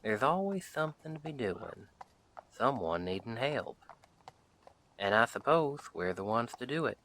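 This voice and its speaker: A man playing a friendly wandering Western sheriff in an audio roleplay, chatting to the listener in a folksy, wry, unhurried way. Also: medium pitch, sing-song, fairly bright tone, a little hiss or hum. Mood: easygoing, reflective. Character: friendly wandering Western sheriff, easygoing drifter, dryly humorous.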